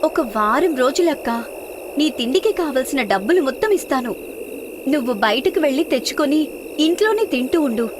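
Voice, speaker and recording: A woman's voice: sits high, expressive, moderate pace, noisy background. A woman voicing a caring, responsible younger sister with an earnest, coaxing manner in a moral story. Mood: earnest pleading.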